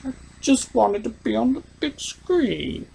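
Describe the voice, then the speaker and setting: A man's voice: very high voice, very animated, slow, gravelly, dark tone, breathy edge, voice pushed up, full character voice, poor audio. A man voicing a vain, mischievous cartoon chaos creature, theatrical and self-important. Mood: indignant, boastful.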